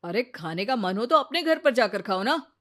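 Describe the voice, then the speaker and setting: A woman's voice: a little high, expressive, moderate pace, fairly bright tone. A woman voicing a young husband with a casual, direct manner in a cartoon moral story. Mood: dismissive. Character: husband, casual, blunt young married man.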